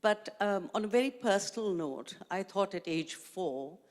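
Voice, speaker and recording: A woman's voice: mid-range voice, animated, measured pace, a little mellow. Urdu-speaking woman on a conference stage. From Pakistan. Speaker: panelist. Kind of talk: panel discussion. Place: large conference hall stage. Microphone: handheld mic. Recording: long hall reverb.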